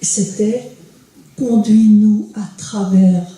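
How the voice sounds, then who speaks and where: An older audience member speaking slowly and earnestly. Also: medium pitch, fairly even intonation, mellow. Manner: slow, earnest. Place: attic lecture hall in a school. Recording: very echoey hall from far off.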